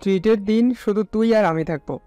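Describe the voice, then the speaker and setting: High, smooth, flirtatious college lad, acted. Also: male voice, moderate pace, mellow, dry recording, faint music behind, close to an everyday voice. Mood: teasing. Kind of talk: romantic audio story acting.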